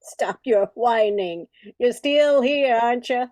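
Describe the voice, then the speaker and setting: A woman's voice: on the high side, slow, a little mellow. A woman playing a wistful, genteel old Christmas carol come to life, with theatrical delivery in a radio play. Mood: indignant. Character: wistful, prim old female Christmas carol sheet music.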